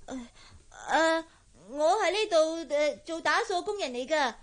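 A woman's voice: sits high, animated, measured pace. An actress playing an eager, timid teenage cleaning girl in a radio drama, girlish and inquisitive in the way she talks. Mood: nervous, hesitant. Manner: girlish, inquisitive, hesitant when nervous. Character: eager, timid sixteen-year-old cleaning girl, chatty and curious. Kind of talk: Cantonese radio drama acting.

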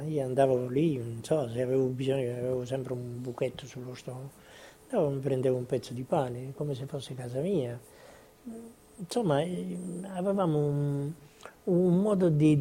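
Very dark-voiced older man, halting. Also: medium pitch. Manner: halting, repeating words. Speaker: witness giving testimony. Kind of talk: spontaneous recollection. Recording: muffled with faint background noise.

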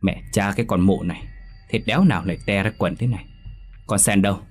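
A man's voice: sits low, fast talker, faint music behind, natural voice. A man voicing a coarse, bad-tempered older husband who curses and scolds. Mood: grumbling. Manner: gruff, cursing.

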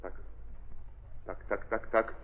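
An actor playing a remorseful, self-accusing nobleman in an old radio drama, halting and repeating his words in anguish. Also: natural voice. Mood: agitated resolve. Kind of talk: 1930s radio theatre acting. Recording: muffled husky 1930s recording, long hall reverb.

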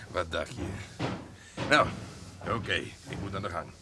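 A man's voice: low-pitched, big pitch swings, takes it slowly, heavily acted. An actor playing a self-assured, boastful middle-aged horse breeder and father in a radio drama. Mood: breezy, boastful. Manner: self-assured, bragging, chuckling. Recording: clearly noisy sound with clicks.

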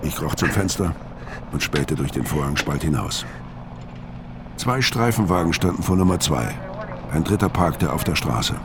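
Crime radio play: laconic, weary outlaw narrator, very low voice, clearly audible street noise behind him. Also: male voice, lively intonation, moderate pace. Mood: tense, watchful. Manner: terse, laconic. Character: gruff, world-weary hard-boiled male criminal narrating his story. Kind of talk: first-person crime radio drama narration and dialogue.

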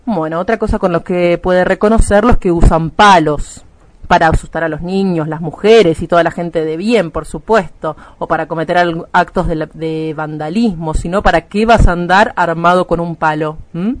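A man's voice: fairly high pitch, fairly even intonation, normal speed, no room sound, natural voice. A man with an Argentine accent playing a smug, prejudiced radio commentator who lectures primly with fussy asides in a mock-serious drama. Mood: smug, disapproving. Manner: prim, didactic, fussy asides. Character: smug prejudiced man solemnly warning listeners about picketers.

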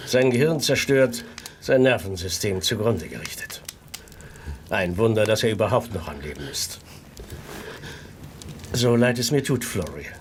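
An actor playing a grave Victorian family doctor in a radio drama, measured and matter-of-fact. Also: male voice, lowish voice, animated, slight background noise. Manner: measured, clinical.

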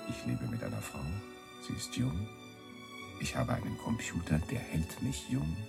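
An actor playing a man who recites simple personal statements in short deliberate sentences, with a creaky voice, in a radio spot. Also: rumbling low, sing-song, heavily acted. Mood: subdued. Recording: music under the voice.

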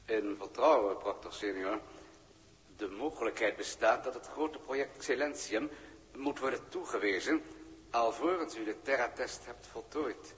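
Reverberant radio role: respectful alien subordinate, male actor, medium-pitched, stiffly formal and ceremonious. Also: normal speed, a bit bright, close to an everyday voice. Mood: formal, confidential. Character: deferential, measured alien subordinate of a senior proctor. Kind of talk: 1960s Dutch radio play acting. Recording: long hall-like reverberation.

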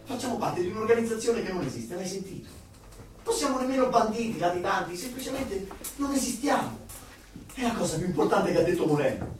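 An actor playing an earnest, uneasy journalist turned secret rebel in an Italian radio drama. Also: male voice, high-pitched, sing-song, a little fast, slight background noise. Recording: very echoey, distant, music behind.

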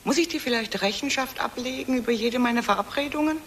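A woman's voice: high voice, fast, fairly bright tone, a little hiss or hum. A woman playing a proud, defensive middle-aged career woman with a snappy manner in a radio drama.

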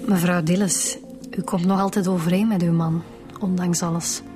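A woman's voice: fairly low pitch, steady tone, moderate pace, mellow, faint music behind, close to an everyday voice. An actress playing a professional female police detective in a Flemish radio drama. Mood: businesslike.

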